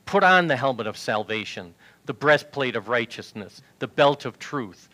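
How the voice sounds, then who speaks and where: A man in his sixties preaches into a headset mic in a large church sanctuary, his tone bright and forward. He reads scripture aloud with earnest emphasis and speaks at an even, middle pitch. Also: moderate pace. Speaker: pastor. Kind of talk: sermon.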